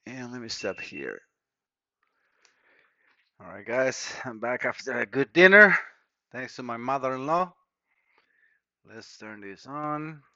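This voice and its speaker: Gravelly Costa Rican repairman muttering. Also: mid-range voice, expressive, takes it slowly, long pauses, bright, forceful tone, no echo. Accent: Costa Rican Spanish. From Costa Rica. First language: Spanish. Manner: muttering to himself while working, informal. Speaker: electronics repair technician. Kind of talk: thinking aloud during a hands-on repair tutorial. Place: repair workbench indoors. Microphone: action camera mic. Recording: dull lo-fi action camera audio.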